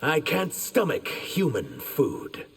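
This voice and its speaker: A man's voice: on the high side, wildly swooping pitch, slow pace, vocal fry, full character voice. An actor playing a disdainful non-human male fighter in a video game. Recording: long hall-like reverb.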